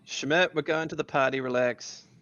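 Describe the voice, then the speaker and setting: A man's voice: a little high, normal speed, a bit bright, no room sound, natural voice. A man reading the part of a grumpy, guarded young male bartender in a sitcom table read, dry and sarcastic. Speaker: podcast host reading a part. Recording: dull lo-fi recording.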